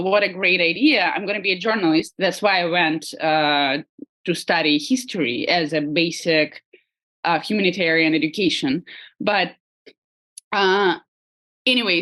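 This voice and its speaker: Woman, Russian accent, lowish pitch, hesitant, many fillers, interview guest. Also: fairly bright tone. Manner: hesitant, many ums and uhs, laughs lightly. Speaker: guest artist. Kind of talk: casual interview chat.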